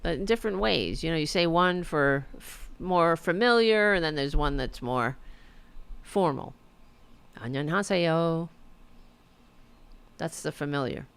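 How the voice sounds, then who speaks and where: A woman delivers mocking, casual political commentary in a clean, smooth voice. Her pitch jumps around expressively as she hammers the same question over and over. She is profane, exasperated and openly sarcastic. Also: animated, a little slow, pauses often. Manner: exasperated, repeats demands and questions. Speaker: podcast host.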